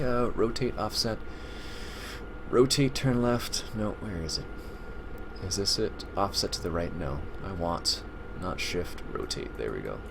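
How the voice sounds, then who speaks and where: A Canadian man with a Canadian English accent giving casual, rambling commentary while playing a game, full of filler sounds and small chuckles. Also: on the low side. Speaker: let's play host. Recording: steady loud game engine noise underneath. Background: farm vehicle engine sounds from the game.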